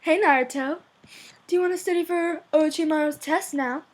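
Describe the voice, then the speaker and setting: A woman's voice: fairly quick, lightly acted. A woman voicing a cool, reserved but caring teenage boy in an amateur anime fan-fiction reading. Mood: cool, quiet. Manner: subdued, low-key delivery.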